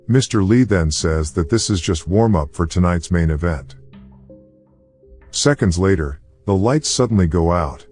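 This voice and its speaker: A man's voice: low voice, darkish tone, husky. A man reading scripted narration as the narrator of a movie recap.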